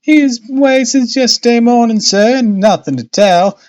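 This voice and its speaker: Storybook boatman's wife male reader acting, talkative and fretful, colloquial, pitched well up and loud. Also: normal speed, dull audio. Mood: anxious. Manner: chattering, exclamatory, colloquial. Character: harried, talkative working-class Victorian boatman's wife, anxious.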